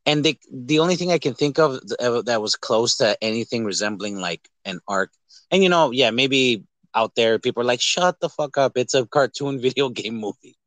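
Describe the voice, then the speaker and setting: Bright-voiced man chatting casually about movies. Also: mid-range voice, animated, moderate pace, no room sound. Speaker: podcast co-host.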